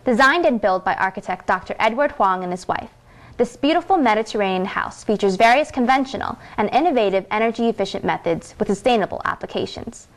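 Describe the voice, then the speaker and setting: A woman's voice: medium pitch, animated, brisk. A woman hosting a feature segment, reading a scripted introduction in a reporter's style.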